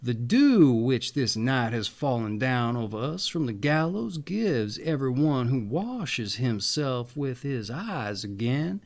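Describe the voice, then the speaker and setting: A man's voice: mid-range voice, exaggerated voice. A man voicing a grim hanged sinner who speaks in archaic storybook language.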